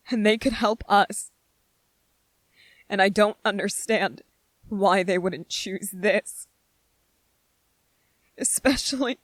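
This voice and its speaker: A woman playing an anxious, gentle, earnest young male soldier in a soft-spoken ASMR drama, speaking haltingly. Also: mid-range voice, no echo, rough recording. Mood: wistful, troubled. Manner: hesitant, halting, repeating phrases.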